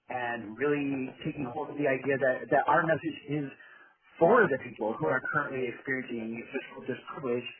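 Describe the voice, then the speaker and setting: A man giving an informal, rambling presentation over a conference call, often checking in with tag questions. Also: mid-range voice. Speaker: advocacy group chair giving a presentation. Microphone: telephone conference call. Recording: narrow tinny phone line, chatter behind.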